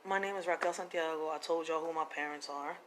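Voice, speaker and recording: Plainspoken woman with a flat delivery. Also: sits low, fast, slight background noise. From Puerto Rico, raised in Philadelphia. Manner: plainspoken, confessional, casual slang. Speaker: vlogger telling her life story. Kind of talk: personal testimony to camera.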